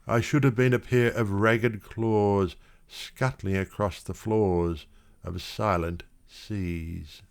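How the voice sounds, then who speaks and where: A man reciting literary verse dramatically, with measured, expressive phrasing. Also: on the low side, vocal fry. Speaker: poetry reader.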